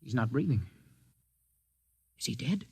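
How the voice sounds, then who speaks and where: A man's voice: mid-range voice, expressive, measured pace, a little mellow, breathy edge, full character voice. An actor playing a defiant, impetuous young man with dramatic, blunt delivery in a 1950s science fiction radio play. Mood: urgent. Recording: noisy old 1950s radio recording.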